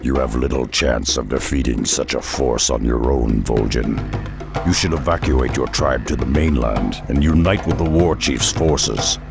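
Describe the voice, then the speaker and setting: An actor playing a huge, wary beast-man warrior in a fantasy game, with a growling, gravelly voice and a blunt, stern manner. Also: a bass voice, measured pace, heavily acted. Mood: wary, grim. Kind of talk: fantasy game character acting. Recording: music under the voice.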